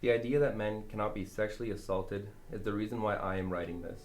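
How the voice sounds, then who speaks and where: A man's voice: on the low side, fairly even intonation, fast. A young adult man, a student, reading a personal account aloud. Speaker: student reader.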